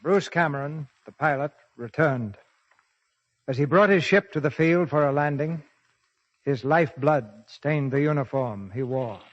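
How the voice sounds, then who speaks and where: Male dramatic narrator played by an actor, higher-pitched, declamatory; vintage radio war play, muffled recording. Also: measured pace, a little hiss or hum, close to an everyday voice. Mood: dramatic, grave. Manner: declamatory, terse. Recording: dull old radio, loud music behind.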